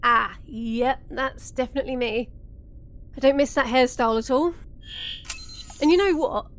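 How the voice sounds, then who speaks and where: Foul-mouthed space host, high voice, easygoing, sarcastic, hesitant delivery, audio drama. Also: female voice, normal speed, slight background noise. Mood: sheepish, defiant. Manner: sardonic, hesitant, rambling. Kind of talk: audio drama comedy acting.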